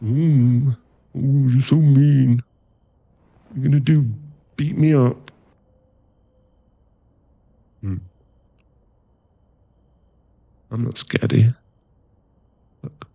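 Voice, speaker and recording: A man playing a playful, teasing boyfriend in an intimate ASMR roleplay, talking haltingly and acting mock-offended and cajoling. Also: mid-range voice, fairly even intonation, gravelly, mellow, no echo, muffled recording. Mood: exasperated, playful. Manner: teasing, halting, intimate one-sided chat.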